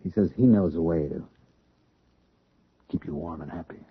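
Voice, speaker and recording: An American man playing a tormented, submissive young man in a radio drama, earnest and halting, as if confessing. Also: sits low, background noise, close to an everyday voice. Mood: cowed, hesitant. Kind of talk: 1970s radio drama acting. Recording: muffled old radio drama recording.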